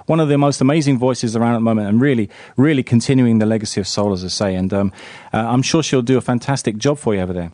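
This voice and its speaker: A man's voice: mid-range voice, dark tone, dry recording. A man, hosting a radio interview in a chatty way, with many fillers. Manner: quick, chatty, hesitant fillers. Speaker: radio host.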